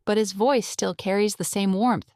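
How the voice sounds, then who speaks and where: A woman narrating a scripted audio drama in a measured, atmospheric storytelling manner. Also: medium pitch, steady tone, a little mellow, dry recording, clean studio sound.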